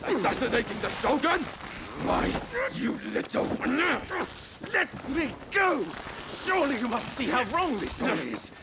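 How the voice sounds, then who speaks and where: Very high-pitched, ranting male zealot. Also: sing-song, unhurried, exaggerated voice. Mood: outraged. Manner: impassioned, declamatory. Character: indignant, fanatical male zealot lecturing about Japan. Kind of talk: video game cutscene acting. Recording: loud noise and ambience behind.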